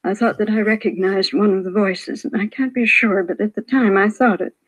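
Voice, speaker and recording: A woman's voice: medium pitch, fairly even intonation, moderate pace, close to an everyday voice, rough recording. A frail old widow in a vintage radio crime drama, played by a woman. She is hesitant and plaintive, apologizing as she goes, a shaken victim who is unsure of herself. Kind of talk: 1950s radio drama acting. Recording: vintage 1950s radio broadcast.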